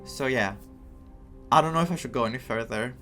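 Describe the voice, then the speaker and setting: A man's voice: mid-range voice, a little fast, sharp tone. A man giving casual, hesitant playthrough commentary, thinking aloud as he goes. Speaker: gaming streamer. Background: game music.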